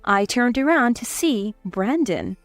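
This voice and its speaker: A woman's voice: a little high, expressive, a little slow, no room sound, faint music behind. A woman reading scripted dramatic story narration.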